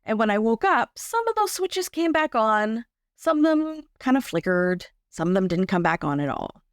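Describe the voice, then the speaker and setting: A woman's voice: on the high side, big pitch swings, moderate pace, dry recording. A woman giving a candid, wry, conversational personal account as a guest. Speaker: podcast guest.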